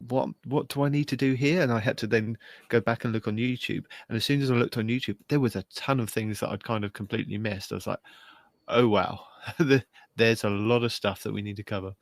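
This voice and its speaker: Male gaming podcaster, lowish voice, dry sound, casual co-host delivery. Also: fairly even intonation, brisk, creaky, dry recording.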